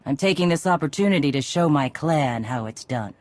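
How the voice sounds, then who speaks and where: An actress playing a tough, cocky Mandalorian clan leader in a video game, brash and sardonic in a casual way. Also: female voice, low-pitched, lively intonation, a little fast, very noisy. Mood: cocky challenge.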